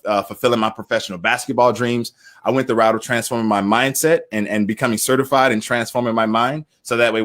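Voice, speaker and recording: A man's voice: mid-range voice, fairly bright tone. Earnest American man in his thirties. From United States. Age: 30s. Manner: earnest, halting with repeated words. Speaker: interview guest, entrepreneur. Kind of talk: casual personal storytelling.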